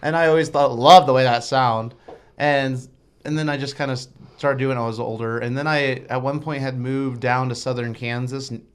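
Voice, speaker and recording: Metal singer guest, man with a high shrill voice, rambly and animated, radio studio. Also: a little fast, clear, resonant tone. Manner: rambling, animated storytelling with restarts. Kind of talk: casual interview chat.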